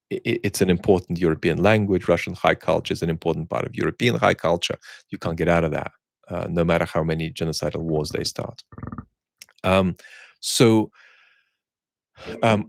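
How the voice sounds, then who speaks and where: A man hosting an online chat, talking reflectively and conversationally in articulate, opinionated phrases, often pausing and restarting words as he searches for the right one. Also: sits low, creaky, dry recording, clean studio sound. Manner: halting, searching phrasing with repeated word starts. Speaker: host of a live chat for an online community.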